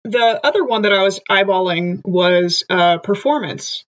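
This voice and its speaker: A woman's voice: medium pitch, a little slow. Woman thinking aloud, lo-fi mic. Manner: thinks aloud while weighing her options. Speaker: player. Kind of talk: playing a tabletop game. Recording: slightly dull lo-fi mic.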